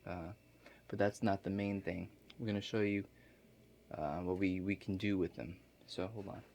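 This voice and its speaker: A man playing a laid-back construction contractor in an ASMR role play, explaining things to a client in a halting, matter-of-fact way. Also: sits low, steady tone, vocal fry, a little mellow, a little hiss or hum. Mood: casual, unhurried.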